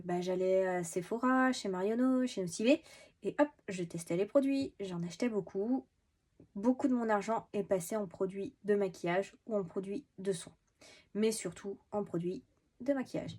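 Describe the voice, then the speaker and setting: A woman's voice: medium pitch, warm tone. Woman in her thirties, stuffy head cold, sniffly, casual chatter, self-mocking, lots of euh and restarts. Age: 30s. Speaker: beauty vlogger. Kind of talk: casual chat to camera. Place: at home in an apartment.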